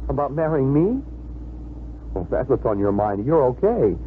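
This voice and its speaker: Male actor as wry, stubborn young suitor, mid-pitched with big swings, 1950s radio drama, tinny and faintly noisy. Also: big pitch swings, moderate pace, natural voice. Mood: wry, teasing. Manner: wry, self-assured. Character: suave, stubborn, teasing young fiancé.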